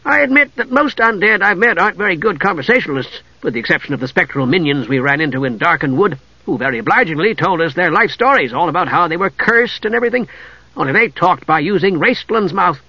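Storybook Kender adventurer, small, male, cheerful and fearless: high, lively, eager rambling, performed by a man, slightly dull recording. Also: fast talker, gravelly, slightly rough, dry recording, exaggerated voice. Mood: chatty, indignant.

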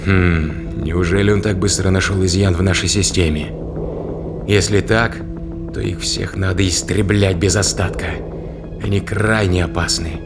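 A man's voice: low-pitched, steady tone, a little slow. A man playing a suspicious, alarmed spaceman, brooding in inner monologue in a science fiction audio drama. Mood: alarmed, hostile. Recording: loud music behind.

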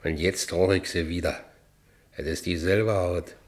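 An actor playing a rough, brawny, volatile ex-convict labourer in a radio play, speaking coarse Berlin vernacular. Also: male voice, low voice, steady tone, a little slow. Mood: wistful narrating. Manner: coarse Berlin vernacular, swinging from brooding to exultant. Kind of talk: German radio play acting, monologue and dialogue.

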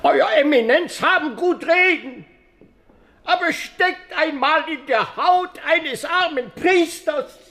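High-pitched, fearful priest. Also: male voice, pushed and bright, full character voice. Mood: defensive, plaintive. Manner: halting, plaintive, defensive. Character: fearful, self-pitying village priest in 17th-century Lombardy. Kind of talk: German radio drama acting.

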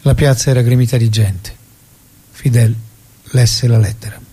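A man's voice: mid-range voice, steady tone, normal speed, mellow, husky. A man giving scripted dramatic narration as a narrator.

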